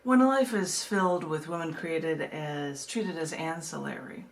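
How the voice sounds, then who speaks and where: A woman poet from the United States reading her own work and talking casually about it, in a measured way with dramatic pauses. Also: low voice, a little hiss or hum. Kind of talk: poetry reading with casual asides.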